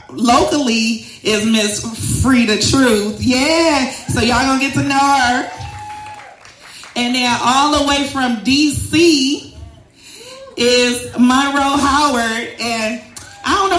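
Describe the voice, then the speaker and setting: A woman's voice: fairly high pitch, takes it slowly, fairly bright tone, slight background noise. A woman introducing an event and its hosts in a loose, chatty, joking way. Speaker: event organizer and host. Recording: echoey room.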